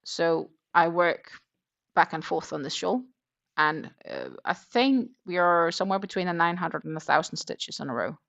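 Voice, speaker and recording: A woman's voice: on the low side, expressive, normal speed, creaky, fairly bright tone, muffled recording. A woman hosting a casual crochet livestream, chatting, reading out viewer comments and counting her stitches aloud. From Denmark. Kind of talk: casual chat while crocheting.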